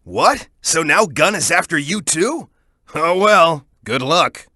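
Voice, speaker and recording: A man's voice: a little high, lively intonation, takes it slowly, sharp tone, dry recording, clean studio sound, heavily acted. A man voicing a cocky, tough young echidna hero in a video game, giving hints in a confident, punchy, instructive manner. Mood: cocky, wary. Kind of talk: video game voice acting, tutorial hints and banter.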